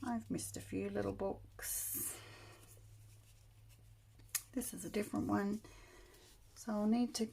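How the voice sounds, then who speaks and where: A woman getting over a cold, her voice still croaky, chatting casually as she works on a journal at her craft table. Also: medium pitch, steady tone, takes it slowly, pauses often, mellow, a little hiss or hum. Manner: chatty, thinking aloud while working. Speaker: crafter demonstrating journal making.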